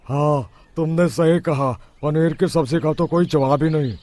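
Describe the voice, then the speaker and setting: A man's voice: a little high, fairly even intonation, measured pace, background noise, natural voice. A man voicing a cautious, scolding villager in a children's cartoon. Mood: agreeable. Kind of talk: children's cartoon story acting.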